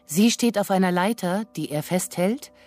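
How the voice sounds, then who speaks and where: A woman's voice: lowish voice, normal speed, darkish tone, no room sound, soft background music. A woman setting scenes as literary narrator in a radio drama.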